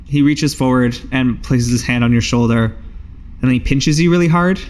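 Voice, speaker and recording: A man's voice: mid-range voice, normal speed, a little mellow. A man narrating a tabletop roleplaying game as game master, in a casual, improvised, dryly humorous way.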